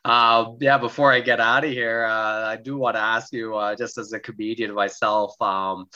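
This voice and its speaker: A man hosts a casual interview, his low voice carrying a bright, forceful edge. He asks questions in a relaxed, hesitant way, full of fillers. The recording sounds dull and lo-fi. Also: moderate pace. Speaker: podcast host.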